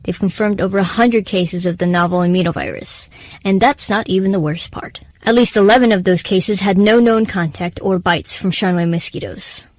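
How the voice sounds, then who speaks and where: A woman playing a serious, formal presidential aide delivering a measured, deferential briefing in an audio drama. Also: medium pitch, no room sound, natural voice. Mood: urgent, grave.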